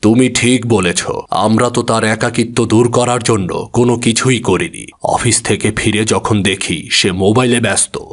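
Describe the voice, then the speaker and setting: A man's voice: on the low side, normal speed, few pauses, close to an everyday voice. A man playing an earnest, regretful father, speaking solemnly and reflectively in a scripted cartoon story.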